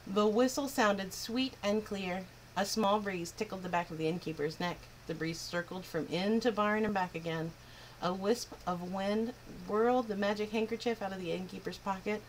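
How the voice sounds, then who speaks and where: Woman storyteller, lilting read-aloud for kids, middle pitch, faint hiss behind. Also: normal speed, poor audio. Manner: expressive, lilting narration. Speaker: children's storyteller.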